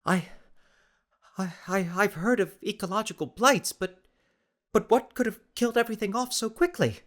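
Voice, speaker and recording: A man voicing an earnest, anxious gentleman explorer in an audiobook, speaking formally and stammering. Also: high-pitched, normal speed, pitched above the performer's own voice. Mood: distraught.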